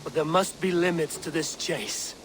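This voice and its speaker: Blunt Viking warrior played by a man, highish voice. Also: normal speed, noisy background, natural voice. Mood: earnest, weary. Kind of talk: video game cutscene acting.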